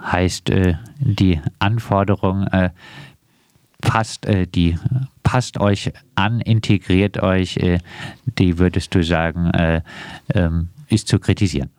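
Male radio interviewer, deep voice, very slow halting delivery full of hesitations. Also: fairly even intonation, darkish tone, clear, resonant tone, no echo, clean studio sound. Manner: very hesitant, frequent filler pauses.

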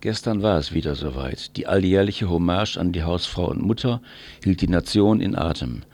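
A man delivers a scripted commentary for morning radio. His voice is very low, and his manner is dry and ironic. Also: normal speed, darkish tone. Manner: dryly ironic. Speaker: radio presenter.

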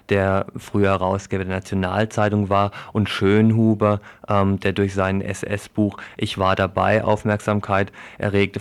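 A man's voice: fairly low pitch, clean tone. A man presenting a radio news report and interviewing as the host.

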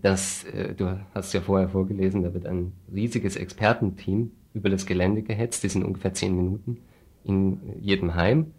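Deep, flat-toned man explaining critically. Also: slightly rough. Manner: critical, dryly sardonic, deliberate. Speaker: refugee aid activist, interview guest. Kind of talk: spontaneous explanatory interview talk.

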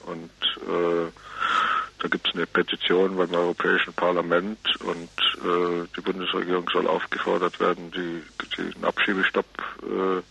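A man with a gravelly, raspy voice giving a spontaneous interview answer, very haltingly, with frequent hesitations and repeated words. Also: low voice, monotone, bright, forceful tone, rough recording. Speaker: anti-racism alliance representative, interviewee.

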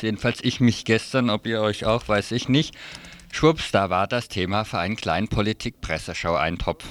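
Low-voiced man presenting radio news. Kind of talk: scripted press review with informal asides.

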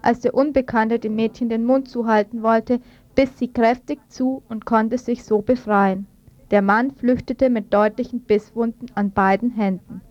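A woman's voice: on the high side, steady tone, normal speed, darkish tone, no room sound, lo-fi sound. A woman radio presenter reading news reports from a script in a studio.

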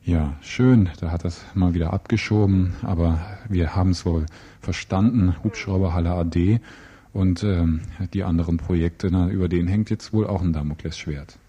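Guy hosting a local news interview, dark raspy-ish voice, loose hesitant way of asking stuff. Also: sits low, steady tone, moderate pace. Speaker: radio interviewer and presenter.

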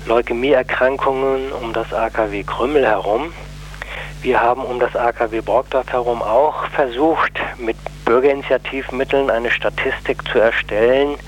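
A man giving a radio interview, explaining his case in a deliberate, hesitant manner. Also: medium pitch, slight background noise, poor audio. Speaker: interview guest, anti-nuclear plaintiff.

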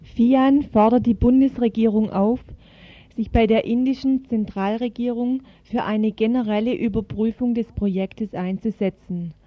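A woman's voice: fairly high pitch, fairly even intonation, moderate pace, no room sound. Woman reading a scripted report, muffled recording. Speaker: activist radio contributor reading a report. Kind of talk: scripted news feature narration. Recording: muffled, band-limited radio recording.

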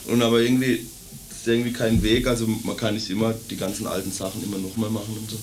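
A man's voice: on the low side, flat delivery, fast talker, a little hiss or hum. A man in his late teens, a band member, chatting casually in an interview.

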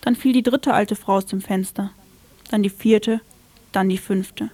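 Female radio presenter for scripted literary narration, measured storyteller, dry studio sound. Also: mid-range voice, fairly even intonation, mellow, no room sound.

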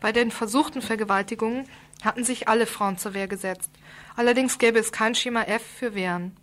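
Woman with a highish voice, reading news. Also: fairly even intonation, brisk, fairly bright tone. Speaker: radio news presenter. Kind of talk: scripted radio report, read out.

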